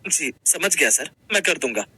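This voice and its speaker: A man playing a simple, humble working husband, gentle, earnest and a little hesitant, in a Hindi moral-story cartoon. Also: a little high, lively intonation, moderate pace, breathy edge, no echo, full character voice. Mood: respectful, curious.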